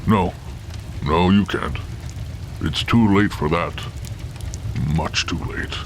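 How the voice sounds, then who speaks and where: Man playing deep-voiced, world-weary menacing Santa gunman. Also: takes it slowly, slight background noise. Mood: despairing. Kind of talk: audio drama acting.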